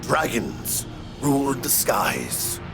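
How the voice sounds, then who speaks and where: A man's voice: mid-range voice, very animated, vocal fry, full character voice. A man voicing an ancient, bereaved dragon from a fantasy game, speaking solemnly in archaic phrases over music. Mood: grave, resigned. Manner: slow, solemn, archaic storyteller delivery. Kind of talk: dramatic reading of video game dialogue.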